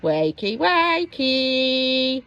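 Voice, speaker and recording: A woman voicing a gloating, menacing male evil pea in a children's storybook, with slow theatrical delivery. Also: high-pitched, big pitch swings, a bit bright, exaggerated voice. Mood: sinister.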